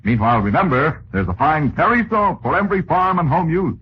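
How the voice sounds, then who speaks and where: A man's voice: on the high side, animated, brisk. An American man working as a 1940s radio announcer, reading sponsor copy with polished, folksy salesmanship. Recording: muffled, hissy 1940s radio recording.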